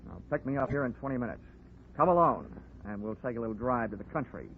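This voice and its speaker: An actor playing a tough, wisecracking private investigator with a dry, hard-boiled 1940s radio delivery. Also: male voice, medium pitch, animated, quick pace, a little hiss or hum, lightly acted. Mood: sardonic. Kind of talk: 1940s radio acting with scripted narration. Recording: narrow-band old radio recording.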